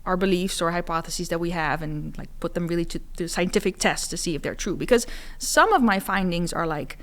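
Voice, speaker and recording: Lower-pitched woman. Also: fairly quick. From Netherlands. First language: Dutch. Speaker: psychology professor, podcast guest. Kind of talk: conversational explaining of research.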